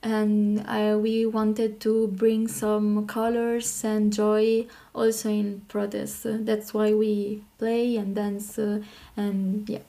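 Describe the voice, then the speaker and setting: A woman from Italy with an Italian accent, talking as a podcast guest in halting, hesitant phrases. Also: mid-range voice, completely flat delivery, vocal fry, warm tone. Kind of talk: informal interview talk.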